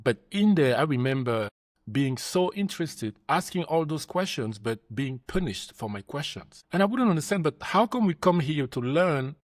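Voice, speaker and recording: A man from Cameroon hosting an interview, earnest, his voice sinking low and soft at the ends of phrases. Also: very animated, normal speed, no room sound, clean studio sound. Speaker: podcast host and life coach. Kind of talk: interview conversation.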